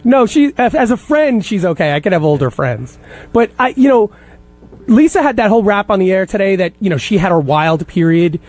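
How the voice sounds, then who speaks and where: High-pitched, animated man in his thirties. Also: fairly quick. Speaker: radio show staff producer, guest. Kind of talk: casual panel chat.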